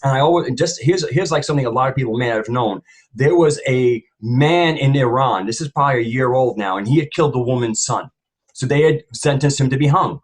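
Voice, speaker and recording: A man with a slightly husky voice of average pitch speaks as a panelist. He gives his opinions emphatically, rambling through points and repeating words to press them home. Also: brisk.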